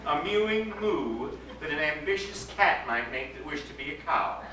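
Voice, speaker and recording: A man, an actor, giving an expressive, dramatic reading of a literary story aloud. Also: fairly high pitch, moderate pace. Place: indoor live reading to a gathering. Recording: echoey room, distant mic, background noise.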